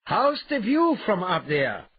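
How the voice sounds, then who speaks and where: A man's voice: sits high, big pitch swings, unhurried, husky, no echo, muffled recording, voice pushed up, full character voice. A man voicing a wily adventurer thief in a fantasy storybook, urbane, mock-polite and taunting. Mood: gloating.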